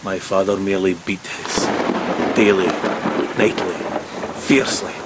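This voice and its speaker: Deep, formal ship's officer. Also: male voice, fairly even intonation, measured pace, very noisy. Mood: bitter. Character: earnest, bitter, rationalist male ship's officer. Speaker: actor in an audio drama. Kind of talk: gothic horror audio play acting. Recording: loud rain and thunderstorm behind.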